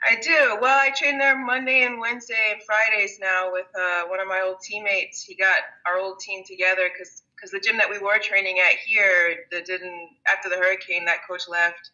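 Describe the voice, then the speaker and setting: A woman's voice: medium pitch, fairly even intonation, moderate pace, few pauses, bright, forceful tone, poor audio. A woman in her thirties who grew up in Germany and the United States, a Muay Thai fighter chatting as an interview guest, laughing often and restarting her sentences. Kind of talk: casual interview conversation.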